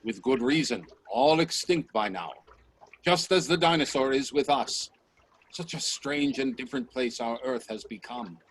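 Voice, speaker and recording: An actor playing a bold, probing scientist and time traveller in a radio play, speaking in an assertive, professorial way. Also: male voice, mid-range voice, normal speed, close to an everyday voice. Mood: probing, dissatisfied. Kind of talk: radio drama acting. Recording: noisy video-call audio with clicks.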